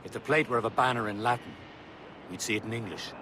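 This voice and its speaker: An actor playing a stern, resolute senior assassin in a video game. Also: male voice, on the low side, fast, noisy background, close to an everyday voice. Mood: thoughtful. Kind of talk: dramatic video game acting.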